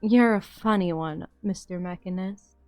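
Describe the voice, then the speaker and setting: Cool, dark-voiced woman playing an enemy fighter. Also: fairly low pitch, measured pace, no echo, faint music behind, natural voice. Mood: cool, wry. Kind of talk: fan dub game story acting.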